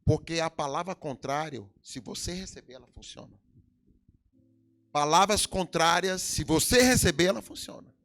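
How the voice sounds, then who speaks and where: A man's voice: a little high, moderate pace, fairly bright tone. A middle-aged Brazilian man with a Brazilian Portuguese accent, preaching a sermon in a church with emphatic swells and sudden drops. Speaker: pastor. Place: church hall.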